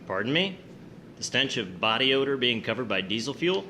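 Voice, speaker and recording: An actor playing a stern, formal submarine captain who speaks curtly in a wartime naval drama. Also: male voice, medium pitch, sing-song, brisk, pushed and bright. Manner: curt, formal, commanding. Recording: faint background noise.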